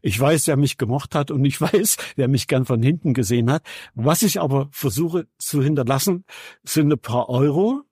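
A man's voice: mid-range voice, moderate pace, a little mellow, breathy edge, no room sound, clean studio sound. A man in his seventies from Saxony, chatting casually as an interview guest in a colloquial, wry, plain-spoken way. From Saxony, Germany. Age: 70s. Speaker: interview guest, veteran radio journalist and musician. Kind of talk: casual conversational anecdotes.

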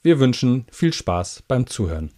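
A man's voice: medium pitch, big pitch swings, normal speed. A man who produces the podcast, reading out a scripted introduction to the episode.

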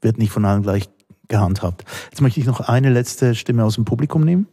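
A male moderator leads a panel discussion in a calm, measured manner. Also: medium pitch, slightly rough. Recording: reverberant hall.

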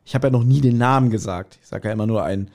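A man's voice: fairly low pitch, quick pace, a little mellow. A man with a German accent chatting casually as a podcast co-host, with a teasing, mock-scolding way of bantering.

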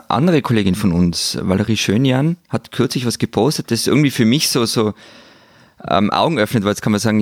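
A man's voice: fairly low pitch, no room sound, clean studio sound. A man co-hosting a casual discussion, hesitant and thinking aloud with many questions. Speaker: podcast co-host.

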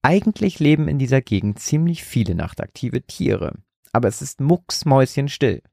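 A man voicing an uneasy young woman on a night hike in hushed, anxious, pleading tones. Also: medium pitch, sing-song, normal speed, vocal fry, dry recording, studio quality, pitched above the performer's own voice. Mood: uneasy whisper.

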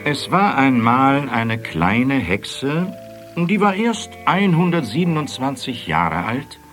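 A man playing a wry fairy-tale storyteller for children, with rolled Rs and deliberate narration. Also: medium pitch, sing-song, fairly bright tone, soft background music. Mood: storytelling. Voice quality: husky, rolled R. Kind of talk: scripted children's audio drama narration.

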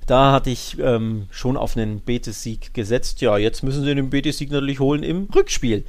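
A man's voice: mid-range voice, normal speed. A man co-hosting a football podcast, talking casually and colloquially as an opinionated fan. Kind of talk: casual two-person sports podcast chat.

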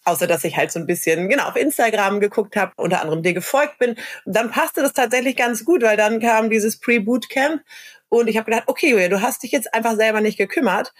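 A woman's voice: fairly high pitch, quick pace. A woman telling her story in an interview, self-deprecating and conversational. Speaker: course graduate.

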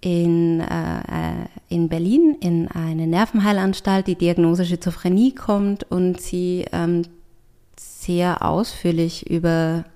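A woman's voice: on the low side, fairly even intonation, takes it slowly, mellow. A woman writer talking in an interview, thoughtful and searching for words, with frequent hesitations. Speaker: writer and artist, interview guest. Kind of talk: reflective conversational interview.

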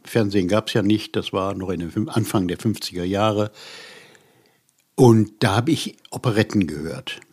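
A man in his seventies from western Germany, a musician being interviewed, recalling his past casually and haltingly, often breaking off to search for words. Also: fairly low pitch, darkish tone, slightly rough. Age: 70s. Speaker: interview guest, musician and writer. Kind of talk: casual personal recollection.

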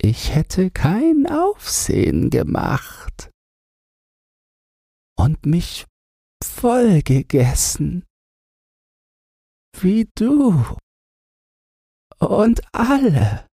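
Frail, whispery, high-voiced dying hunger artist. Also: male voice, huge pitch swings, creaky, dark tone, no echo, studio quality, exaggerated voice. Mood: faint, earnest. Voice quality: whispery, thin, weak. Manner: slow, halting speech.

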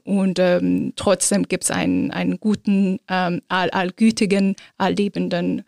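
Halting woman with gravelly voice. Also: fairly low pitch, expressive, a little mellow, no echo, clean studio sound. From Iran. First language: Azeri. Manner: thoughtful, halting with many fillers. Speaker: guest, philosopher of religion. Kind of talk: interview answers.

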